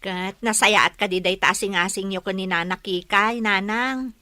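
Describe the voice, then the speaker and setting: A woman's voice: medium pitch, steady tone, normal speed, bright, forceful tone, dry recording, studio quality, close to an everyday voice. A young woman with an Ilocano accent playing an exasperated grown daughter in a radio comedy drama. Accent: Ilocano, northern Philippines. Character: exasperated grown daughter questioning her mother.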